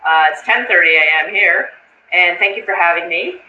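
A woman's voice: lowish voice, normal speed, bright, forceful tone, slight background noise. A woman in her forties from Ontario, Canada, a nervous guest on a live stream who laughs and restarts her sentences. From Niagara region, Ontario, Canada. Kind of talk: casual chat on a live stream. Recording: echoey room, dull lo-fi sound.

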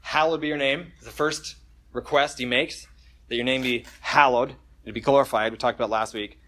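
A man's voice: mid-range voice, steady tone, normal speed, sharp tone, a little hiss or hum. A man preaching a conversational sermon at a church service, joking casually and reading scripture with emphasis. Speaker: pastor.

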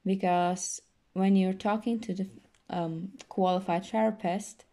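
Slow, gravelly-voiced young woman. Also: mid-range voice, steady tone. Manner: slow, soft-spoken, many fillers and restarts. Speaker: podcast host. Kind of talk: casual self-help talk.

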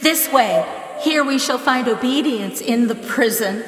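A woman's voice: fairly high pitch, animated, unhurried, background noise. A woman playing a solemn otherworldly witch in an audio drama, portentous, with long echo on the voice. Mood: solemn, calling. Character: solemn otherworldly witch calling to her kin. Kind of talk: audio theatre acting. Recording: long echoing reverb.

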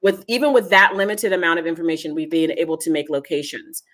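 A woman's voice: on the low side, fairly even intonation, fast talker, fairly bright tone. A woman guest from a genealogy foundation, speaking earnestly in an interview and repeating her key points for emphasis. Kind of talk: conversational interview.

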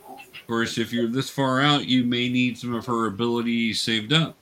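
A man's voice: medium pitch, flat delivery, normal speed, sharp tone. A man acting as game master, conversationally narrating a tabletop game and patiently explaining things step by step to young players.

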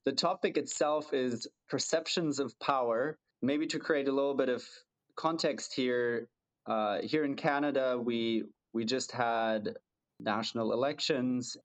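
A man's voice: mid-range voice, steady tone, measured pace, rough recording. A man from Germany co-hosting a podcast in English, his second language. He talks thoughtfully, often restarting a phrase as he searches for the right words. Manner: thoughtful, hesitant restarts, self-deprecating laughs. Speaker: podcast co-host. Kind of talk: casual podcast chat.

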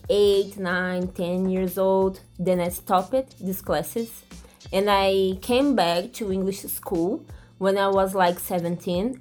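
A woman's voice: medium pitch, darkish tone. A young Brazilian woman with a Brazilian Portuguese accent, a podcast guest speaking English hesitantly and searching for words.

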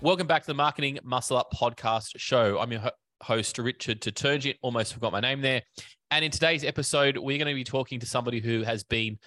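A man's voice: mid-range voice, pushed and bright, no echo. A man hosting a fitness business podcast, talking casually with many fillers and restarts. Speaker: podcast host. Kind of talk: casual interview.

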